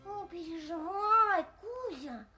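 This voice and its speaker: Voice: extremely high pitch, animated, slow pace, sharp tone, faint music behind, heavily acted. An actor playing a kindly, reassuring young animal friend in a children's audio fairy tale.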